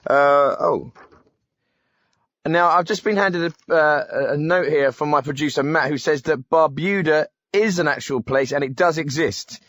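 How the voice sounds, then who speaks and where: Awkward english radio host, highish voice, blunt and defensive, dry studio sound. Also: male voice, a little slow, a bit bright, no echo. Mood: sheepish. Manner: blunt, hesitant, flustered. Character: defensive, tactless male radio phone-in host. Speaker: phone-in host. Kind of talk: spoof live radio phone-in.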